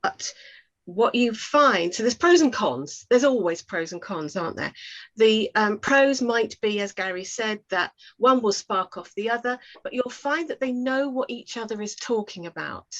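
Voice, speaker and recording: A woman's voice: fairly high pitch, expressive, moderate pace, a bit bright. A woman giving conversational, explanatory advice as a workshop speaker, often weighing things up with hedges. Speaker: oral historian and workshop speaker. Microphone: video call. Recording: dull lo-fi webinar audio.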